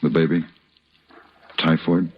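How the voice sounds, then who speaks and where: An actor playing a cool, wry western gunman in an old-time radio drama, laconic and measured. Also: very deep voice, little pitch movement. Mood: steady. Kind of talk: old-time radio western acting and first-person narration. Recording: dull, noisy old radio recording.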